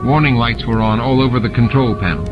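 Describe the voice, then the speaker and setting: A man's voice: medium pitch, fast talker, highs cut off. A man reading dramatic fiction as an audiobook narrator, with music and sound effects added behind him.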